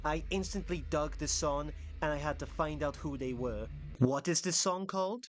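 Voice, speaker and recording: A man's voice: a little high, moderate pace. A young man from Wales with a dry, self-mocking humor, narrating a scripted commentary about a band. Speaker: video essayist.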